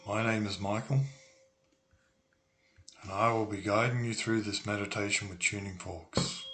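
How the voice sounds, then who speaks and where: A man narrating a guided meditation in a soothing, deliberate way with long pauses. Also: lowish voice, fairly even intonation, background noise. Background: humming tuning forks.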